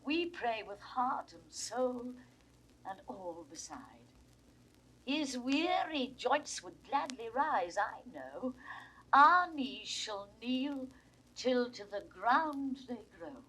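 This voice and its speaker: A woman's voice: a little high, big pitch swings, takes it slowly, a bit bright, breathy edge, full character voice, rough recording. A woman with a British RP accent playing a desperate, aging duchess in a Shakespearean audio drama, pleading in impassioned verse. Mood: passionate. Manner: impassioned, sweeping verse delivery. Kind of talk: classical Shakespearean stage acting. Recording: vintage 1960s recording, faint noise.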